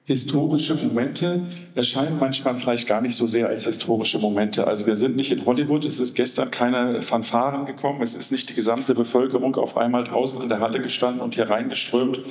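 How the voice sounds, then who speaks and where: A man's voice: medium pitch, steady tone, fairly quick, darkish tone. A man addressing a congress audience with an emphatic, rallying speech. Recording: echoey hall.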